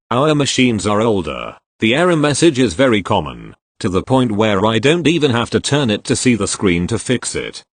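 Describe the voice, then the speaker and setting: Low, expressive male story reader. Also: no echo. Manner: expressive reader voicing each character in turn. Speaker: narrator reading online posts. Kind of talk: scripted storytelling narration.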